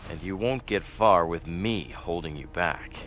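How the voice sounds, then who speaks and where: A man playing a brooding, remorseful young fantasy warrior in a video game, speaking in a grave, measured way. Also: low voice, fairly bright tone, slight background noise. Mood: somber, resolved. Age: young adult. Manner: grave, measured, self-reproaching. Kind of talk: video game cutscene acting.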